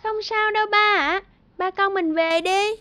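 A sweet, affectionate little schoolgirl from a cartoon, with a very high, bright voice and slow, careful speech. The sound is dry and close, with soft music underneath. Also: steady tone, no echo, heavily acted. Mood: cheerful. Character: sweet cheerful young schoolgirl daughter.

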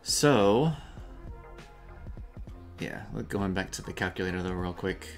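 A man in his thirties co-hosting a casual gaming video from a small home room, explaining things in a relaxed way with lots of fillers. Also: low voice, unhurried, faint music behind. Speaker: co-host.

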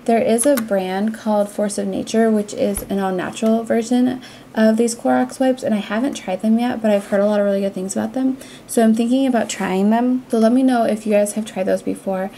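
A woman's voice: on the high side, fairly even intonation, a little fast, creaky, dark tone, slight background noise. A young adult woman with an American accent, narrating a cleaning vlog in a casual, conversational, explanatory way. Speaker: cleaning vlogger.

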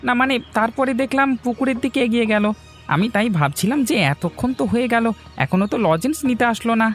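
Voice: medium pitch, animated, fast, faint music behind, natural voice. An actor voicing a flustered village shopkeeper in a Bengali moral-story cartoon. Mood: nervous, explaining.

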